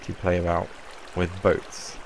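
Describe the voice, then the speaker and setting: A young man with a croaky voice from a cold, casually explaining a game build in a rambling way. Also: sits low, a little slow, a little hiss or hum. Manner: relaxed, rambling explanation with fillers. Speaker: Minecraft YouTuber. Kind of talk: casual gameplay tutorial.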